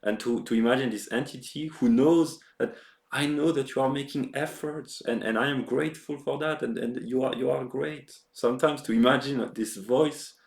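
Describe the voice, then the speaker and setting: A man speaking in a reflective, personal monologue, halting and often repeating words and phrases. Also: medium pitch, creaky. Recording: roomy, echoing sound.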